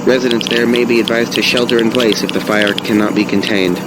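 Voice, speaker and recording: An actor playing a grave emergency official in an audio drama, giving deliberate warnings. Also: male voice, medium pitch, fairly even intonation, natural voice. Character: grave male official reporting a nuclear radiation emergency. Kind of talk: modern audio drama acting. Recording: very loud outdoor vehicle and ambient noise.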